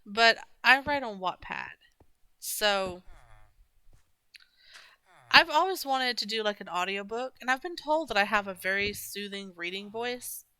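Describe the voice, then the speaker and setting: A woman's voice: medium pitch, lively intonation, unhurried, long pauses, pushed and bright, clean tone, poor audio. A young woman chatting casually and rambling while she plays a game on stream, with many restarts and fillers. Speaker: gaming streamer.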